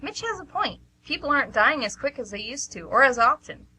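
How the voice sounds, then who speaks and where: Sceptical female passenger, acted, radio play banter, higher-pitched with a husky edge. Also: big pitch swings, brisk, bright, forceful tone, heavily acted, poor audio. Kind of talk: amateur radio play dialogue.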